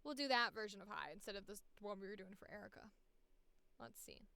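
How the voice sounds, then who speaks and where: A woman's voice: medium pitch, brisk, gravelly, bright, forceful tone, dry recording. A woman voice actor chatting casually on a livestream, informally thinking aloud as she works.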